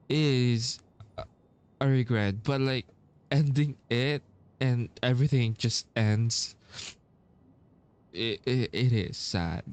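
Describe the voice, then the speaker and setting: A man giving casual reaction commentary while playing a story game, halting and earnest as he searches for words. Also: mid-range voice, a little mellow.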